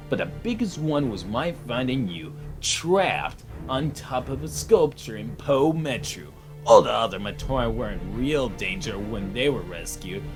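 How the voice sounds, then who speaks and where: A man as a stern hero with swooping delivery. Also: medium pitch. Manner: blunt, accusing. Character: stern, watchful male hero, blunt and threatening. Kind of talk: dramatic motion comic voice acting. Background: music.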